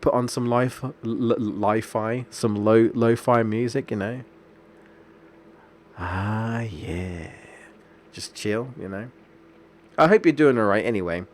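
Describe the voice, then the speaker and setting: Stuffy-nosed man, low voice. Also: slow pace, long pauses. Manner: rambling, chatty, restarts sentences often. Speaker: streamer. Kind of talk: casual chat while streaming a game.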